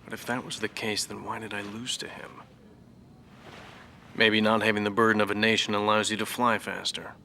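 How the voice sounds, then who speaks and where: An actor playing a middle-aged former fighter ace, now a professor, who speaks in a measured, brooding, reflective way in a game cutscene. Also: male voice, fairly low pitch, flat delivery, noisy background, lightly acted. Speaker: former fighter pilot interviewed.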